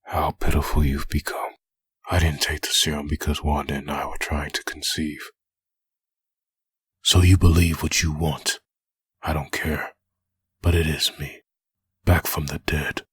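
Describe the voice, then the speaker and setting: A man playing a vengeful soldier back from the dead in a comic dub, bitter, grim and taunting in a low, husky voice. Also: level tone, unhurried, long pauses, no echo, heavily acted. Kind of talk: comic dub dramatic acting.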